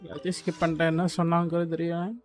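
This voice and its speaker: A man's voice: a little high, completely flat delivery, rapid-fire. A man giving casual live-stream commentary while playing a game. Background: game music.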